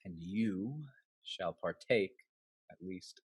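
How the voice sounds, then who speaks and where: An actor playing a bitter, cuckolded husband in a Restoration comedy, scheming and sardonic, with florid, declamatory delivery. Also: male voice, low-pitched, slow pace, husky, no echo, heavily acted. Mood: cynical, smug. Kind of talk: theatrical Restoration comedy acting.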